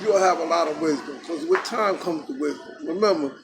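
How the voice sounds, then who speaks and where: A man's voice: a little high, brisk, noisy background. A man in his sixties, a Marine veteran guest, speaking earnestly and giving advice in a casual interview outdoors. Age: 60s. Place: outdoors in a city.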